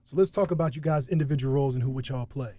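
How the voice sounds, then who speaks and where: A man's voice: medium pitch, rapid-fire, mellow, no echo. A man hosting a radio show, casually putting questions to guests. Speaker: radio host, interviewer.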